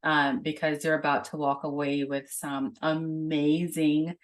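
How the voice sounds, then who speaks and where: A woman's voice: low-pitched, little pitch movement, a little slow. A woman hosting a podcast interview, enthusiastic and chatty, with many fillers. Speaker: podcast host.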